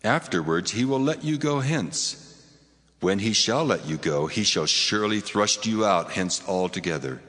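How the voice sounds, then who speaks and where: God, deep and booming. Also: male voice, lively intonation, normal speed, fairly bright tone. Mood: solemn. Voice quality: deep, solemn and reverberant. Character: God, deep commanding divine male voice. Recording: long hall reverb on voice. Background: music.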